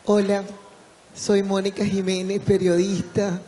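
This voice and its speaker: A woman's voice: mid-range voice, level tone, unhurried, warm tone, a little hiss or hum. An older woman, a journalist and actress, speaking enthusiastically from the floor. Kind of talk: comment from the floor. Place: large event hall. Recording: long hall reverb.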